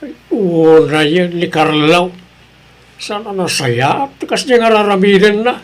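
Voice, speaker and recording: A man's voice: high voice, lively intonation, a little slow. A man with an Ilocano Filipino accent playing a sensible, disapproving husband in an audio drama, with an earnest, moralizing way of talking. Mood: concerned.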